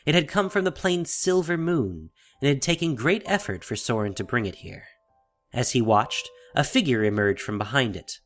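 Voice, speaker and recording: Expressive male fantasy narrator over music. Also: mid-range voice, moderate pace. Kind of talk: dramatic scripted fantasy narration.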